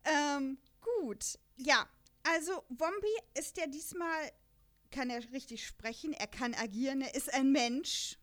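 A woman's voice: high-pitched, a little slow, a bit bright. A chatty, enthusiastic woman co-hosting a podcast, talking casually about an audio drama and often breaking into laughter. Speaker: podcast co-host.

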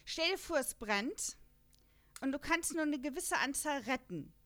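A woman chatting casually as a podcast co-host, animated and rambling in manner. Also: high-pitched, unhurried. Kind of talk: casual chat.

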